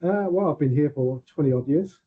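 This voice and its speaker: This is a man in his forties with a husky, slightly breathy voice, talking easily as a guest on a podcast. His manner is relaxed and wry. The recording sounds dull and muffled. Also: on the high side, fairly quick. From Hong Kong. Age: 40s. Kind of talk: casual chat.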